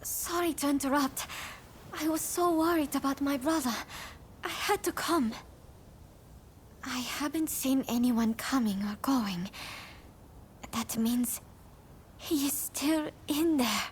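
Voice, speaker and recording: A woman voicing a gentle, worried young wife in samurai-era Japan for a video game, polite, soft-spoken and earnest. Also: sits high, slow pace, long pauses, slight background noise. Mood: worried, hesitant. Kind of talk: dramatic video game voice acting.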